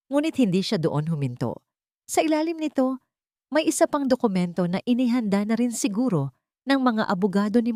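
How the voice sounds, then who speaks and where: A woman with a Filipino accent narrating a scripted romantic drama in a solemn, emotive, deliberate way. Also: medium pitch, warm tone, no echo, clean studio sound. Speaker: storyteller.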